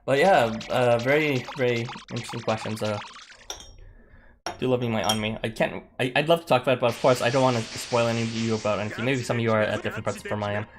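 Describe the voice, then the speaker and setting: A young man, streaming a game and chatting casually with viewers in a halting way, full of fillers and little chuckles. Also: medium pitch, fairly even intonation, noisy background. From Canada. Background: game music.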